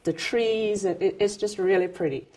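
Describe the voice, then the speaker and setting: A woman's voice: medium pitch, rough recording. A middle-aged woman from Jamaica, an interview guest, speaking in a casual chat with many fillers and restarts. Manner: warm, halting, with frequent fillers and restarts. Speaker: interview guest, retired federal employee.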